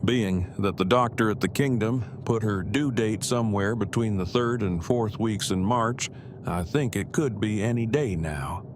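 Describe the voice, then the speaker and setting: Male actor as bookish earnest husband, lowish pitch, formal verbose wording, audio drama, noticeably noisy recording. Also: a little slow, lightly acted. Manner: formal, wordy, precise phrasing.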